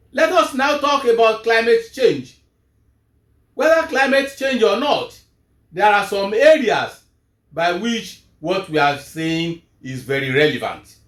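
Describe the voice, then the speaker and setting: A man, a Yoruba speaker, giving a measured, emphatic farm advisory talk as host of a farming show. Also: high voice, fairly bright tone, echoey room. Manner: measured, emphatic, rising then falling at each phrase.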